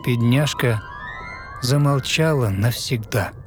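A man narrating a fairy tale in verse as a storyteller, with measured, rhythmic, dramatic delivery. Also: mid-range voice, over loud music. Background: musical score.

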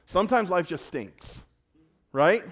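A man preaches to his congregation in a conversational tone. His voice sits fairly high for a man. He asks the crowd questions and swings between loud, urgent lines and hushed ones. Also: expressive, slow. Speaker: pastor. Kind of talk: sermon.

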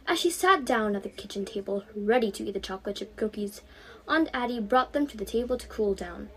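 A woman's voice: mid-range voice, expressive, brisk, fairly bright tone, poor audio. A fifteen-year-old young woman reading her own storybook aloud as narrator.

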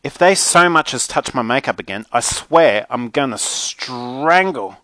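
A man's voice: medium pitch, animated, measured pace, pushed and bright, no echo. A man voicing a spoiled, bossy teenage girl for a children's audiobook, whiny and melodramatic. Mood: exasperated. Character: spoiled, dramatic, indignant teenage girl on the phone.

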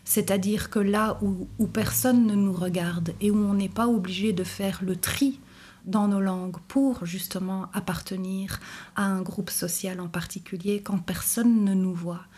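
A woman from Brussels, a university teacher speaking thoughtfully in an interview, pausing often with fillers. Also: mid-range voice, fairly even intonation, darkish tone, breathy edge. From Brussels, Belgium. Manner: thoughtful, hesitant, many pauses and fillers. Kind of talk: reflective interview testimony.

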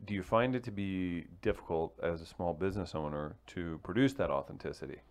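A man's voice: sits low, brisk. A man hosting a casual interview, encouraging his guest with frequent short replies. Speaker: podcast host.

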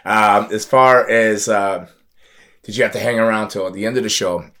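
A man's voice: lowish voice, steady tone, normal speed, sharp tone. A middle-aged man hosting a casual interview, talking in a loose, rambling way with plenty of fillers and restarts. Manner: laid-back, rambling with many restarts and fillers. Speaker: podcast host and former wrestler.

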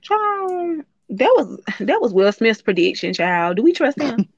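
A woman's voice: on the high side, very animated, measured pace, muffled recording. A woman joining a podcast as guest co-host, chatting casually, laughing and restarting her sentences. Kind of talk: casual chat.

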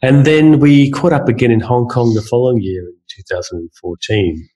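A man's voice: mid-range voice, fairly quick, warm tone, breathy edge, low-quality recording. A man hosting a podcast, introducing a guest in a loose, hesitant way with many fillers and restarts. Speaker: podcast host. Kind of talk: casual podcast chat.

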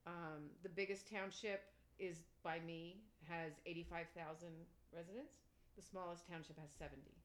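Older pennsylvania woman, lowish voice, chatty, explains local politics, laughs a lot. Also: fairly even intonation, moderate pace. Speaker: township supervisor. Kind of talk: casual interview conversation.

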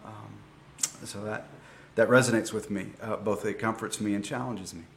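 Low-voiced pastor leading a service. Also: male voice, fairly even intonation, moderate pace, gravelly.

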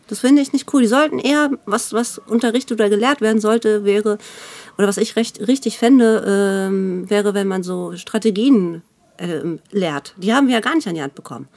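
A woman's voice: medium pitch, normal speed, darkish tone. A woman chatting casually as a podcast co-host in a blunt, colloquial and slangy way. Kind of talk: casual chat.

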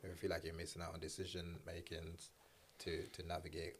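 A man's voice: deep voice, completely flat delivery, brisk, a little hiss or hum. A man hosting a casual group conversation in informal, slangy speech. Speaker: podcast host.

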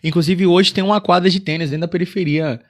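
Man, 26, São Paulo accent, podcast co-host, relaxed questions and anecdotes, somewhat high pitch. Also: fast talker. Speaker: podcast interviewer. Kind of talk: casual podcast interview.

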